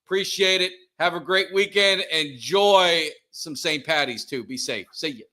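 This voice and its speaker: A man's voice: on the high side, expressive, a little slow, sharp tone. A middle-aged man hosting a casual live stream, upbeat and keen to plug his channel. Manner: upbeat, plugs the channel often. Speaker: podcast host.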